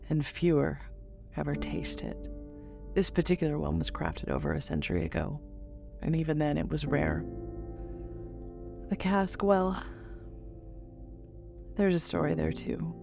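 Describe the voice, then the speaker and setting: An actor playing a refined, guarded dignitary in an audio drama, speaking formally in a measured, deliberate way. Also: medium pitch, animated, creaky, music in the background. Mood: reminiscing.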